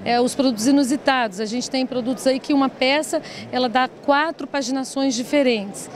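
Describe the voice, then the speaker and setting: A woman's voice: high-pitched, fairly quick, slight background noise. A Brazilian woman in her forties explaining her design work in an interview, as a company director. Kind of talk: promotional trade fair interview. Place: open stand in a large indoor exhibition hall. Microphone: handheld reporter microphone held by interviewer. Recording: busy trade fair crowd behind. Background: crowd chatter of a trade fair.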